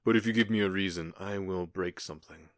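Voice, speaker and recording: A man in an ASMR roleplay playing a menacing, gloating outlaw gang boss who taunts with mock politeness and deliberate pauses. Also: sits low, no echo, studio quality, lightly acted. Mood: coldly threatening.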